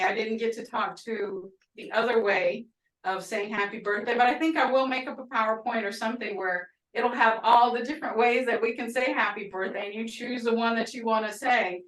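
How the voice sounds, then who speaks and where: A woman with an Oklahoma twang teaching a language class over a video call, chatty and quick to laugh. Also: fairly high pitch, fairly bright tone. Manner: chatty, laughing often, storytelling asides. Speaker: language instructor. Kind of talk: casual online class teaching. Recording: roomy, distant video-call audio.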